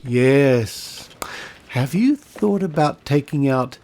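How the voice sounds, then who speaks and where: Man playing a creaky, unhurried shifty astrologer. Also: mid-range voice, expressive, warm tone. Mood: slick, hedging. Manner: halting, deliberate salesman patter. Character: shifty male astrologer upselling dubious insurance. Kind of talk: comedy podcast sketch.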